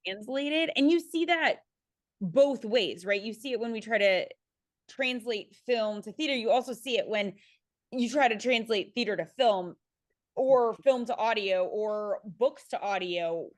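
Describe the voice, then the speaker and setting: A woman's voice: a little high, unhurried. A woman speaking conversationally as a panelist on a video call, often correcting herself and checking in with "right?".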